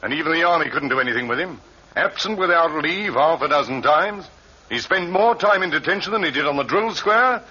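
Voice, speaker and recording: An actor playing an irritable middle-aged father scolding his son in a 1950s radio drama, gruff and exasperated. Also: a little high, lively intonation, a little fast. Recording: muffled old radio recording with loud hiss.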